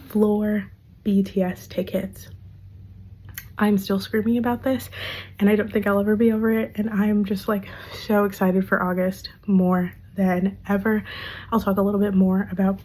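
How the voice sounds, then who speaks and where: Chatty young woman, hoarse. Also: mid-range voice, dark tone. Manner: chatty, lots of fillers, gushing. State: losing her voice, hoarse. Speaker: vlogger. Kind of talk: casual monthly favorites chat.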